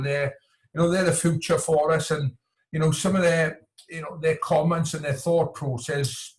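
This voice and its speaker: A man from Wales speaking as a coach in a conversational interview, often circling back and repeating words with plenty of "you know". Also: fairly high pitch. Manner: hesitant, repetitive, frequent "you know". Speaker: coach and entrepreneur, podcast guest.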